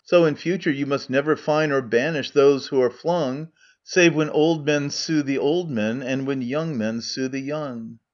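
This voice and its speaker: A man's voice: mid-range voice, fairly even intonation, unhurried, natural voice. A man voicing the indignant leader of an old men's chorus, declaiming rhymed verse. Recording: muffled lo-fi recording.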